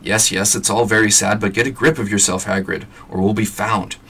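A man voicing a stern, brisk older female witch professor. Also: lowish voice, fairly even intonation, gravelly, fairly bright tone, husky, distant mic, exaggerated voice.

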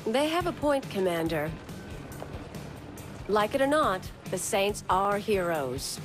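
A cutting, assertive politician. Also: female voice, medium pitch, sing-song, slow. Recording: game audio over music and crowd.

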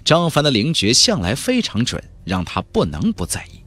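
A man's voice: mid-range voice, huge pitch swings, moderate pace, a bit bright, slightly rough, faint music behind. A man narrating a fantasy novel as a dramatic scripted audiobook.

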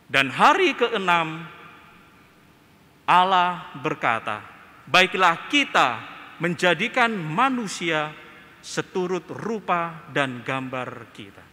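A young man with an Indonesian accent, preaching a homily from a church lectern mic, slow and emphatic with rising and falling phrases. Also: fairly high pitch, very animated, pushed and bright. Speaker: priest. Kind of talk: homily at Mass. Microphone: gooseneck lectern mic. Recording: reverberant church.

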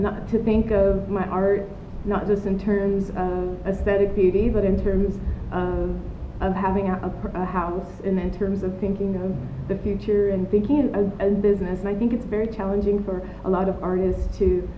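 A woman in her thirties from the United States talks in a relaxed interview, rambling and reflective, full of fillers and restarts. Her voice sits at a middle pitch and is caught by a distant camera mic outdoors. The sound is roomy and dull, with a low rumble and faint noise behind it. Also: normal speed, few pauses. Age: 30s. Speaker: artist being interviewed. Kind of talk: casual interview conversation. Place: outdoor patio of an art studio.

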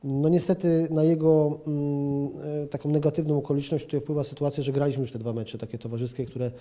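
Dark-voiced man, halting pundit talk. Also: a little high, steady tone. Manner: hesitant, many fillers and restarts. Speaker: football pundit. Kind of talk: TV sports interview. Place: indoor office room with plant. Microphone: handheld reporter mic held toward him.

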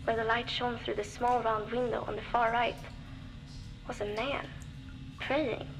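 High-voiced young woman survivor. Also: level tone, music in the background, low-quality recording. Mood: calm, hopeful. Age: young adult. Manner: reflective, measured storytelling. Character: young Norwegian woman survivor, determined, reflective, lonely. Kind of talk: narrated audio diary in a video game.

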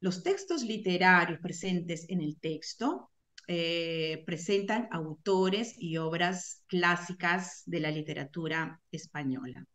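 Webinar presenter: middle-aged Peruvian woman, Peruvian Spanish accent, slightly low voice, halting explanatory delivery over a video call. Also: animated, a bit bright. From Peru. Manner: hesitant, many fillers and self-corrections.

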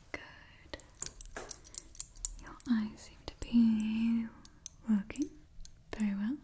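A woman in her twenties whispering an ASMR roleplay close to the microphone, breathy and repeating her phrases. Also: on the high side, dark tone. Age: 20s. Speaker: ASMR performer playing a monster party door checker. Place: small indoor setup before a brick backdrop. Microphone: close microphone, face near camera.

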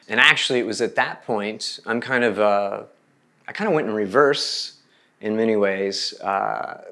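A man's voice: on the low side, fairly bright tone. A middle-aged man from Oklahoma with an American accent, telling his story as an artist in an interview. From Oklahoma, United States. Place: bright room indoors.

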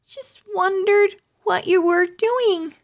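A woman's voice: very high voice, takes it slowly, voice pushed up, full character voice. A woman voicing a shy, earnest young girl owl in a storybook, with a hesitant manner.

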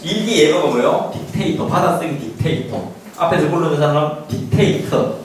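A middle-aged man lectures informally in a very echoey classroom, picked up from a distance. His voice sits a little high and swings widely in pitch as he makes emphatic points and throws questions at his listeners. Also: a little fast. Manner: animated, emphatic, asks rhetorical questions. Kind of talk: informal lecture to parents on study methods. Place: small classroom. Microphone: handheld mic, recorded by a camera at a distance. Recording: echoey room, distant mic, background noise.

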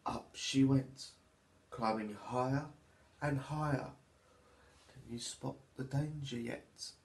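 A man, a teacher reading a storybook to children in an expressive, deliberate way. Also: medium pitch, slight background noise. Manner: expressive, deliberate, addressing young listeners. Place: small plain room at home, indoors. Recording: echoey small room.

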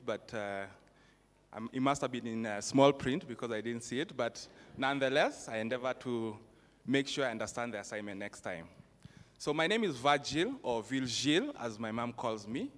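Young guy from rwanda, relaxed jokey award speech, handheld mic, long hall echo. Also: medium pitch. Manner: relaxed and self-joking, with fillers. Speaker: foundation representative presenting an award. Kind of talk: informal speech at an awards event. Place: event hall on a stage. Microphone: handheld microphone. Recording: reverberant event hall.

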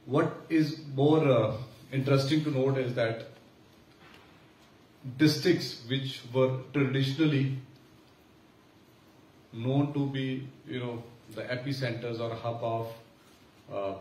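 A man with an Indian English accent giving a formal, measured speech at a conference, pausing with fillers. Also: mid-range voice, fairly even intonation, darkish tone. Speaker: senior official giving keynote. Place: large conference hall. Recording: echoey hall from far off, faint hiss.

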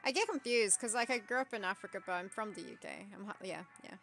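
A woman's voice: mid-range voice, fast, music in the background. Giggly woman co-hosting a stream. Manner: giggly, hesitant. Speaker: streamer co-host. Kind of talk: casual let's-play chat.

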